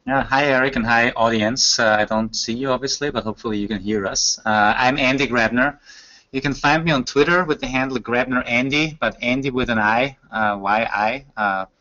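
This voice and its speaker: A man's voice: medium pitch, normal speed, bright, forceful tone. Man, German speaker. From Austria. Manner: explanatory, speaks with frequent fillers. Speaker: guest, performance expert. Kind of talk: casual podcast chat. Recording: muffled lo-fi audio.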